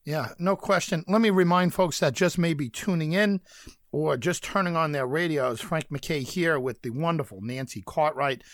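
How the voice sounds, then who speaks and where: A male radio host with a slightly higher voice who praises his guest with a lot of enthusiasm. He speaks close to the mic in a dry studio. His patter is steady and practiced. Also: normal speed, no echo. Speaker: radio talk show host. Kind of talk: radio interview.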